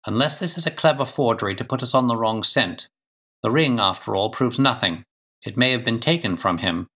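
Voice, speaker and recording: Frank, deductive gentleman detective for audiobook, male reader, medium pitch, clipped and precise. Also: fairly quick. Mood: cautious, frank. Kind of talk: audiobook character dialogue.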